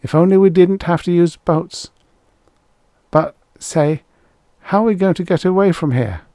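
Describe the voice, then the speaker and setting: Man voicing a feisty, high-pitched young woman raider. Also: warm tone, no room sound. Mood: friendly, chatty. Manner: brisk, indignant whisperer with casual chattiness.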